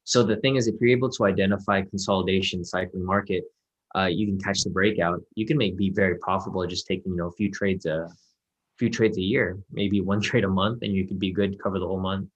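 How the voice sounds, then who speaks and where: Fast-talking low-voiced man mentoring on a call. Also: lo-fi sound. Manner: relaxed, reassuring, filler-heavy. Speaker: trading mentor. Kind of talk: casual one-on-one mentoring call.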